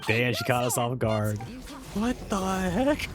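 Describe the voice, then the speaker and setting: Unhurried, low-key male game streamer. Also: big pitch swings, music in the background. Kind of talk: casual live gameplay reaction.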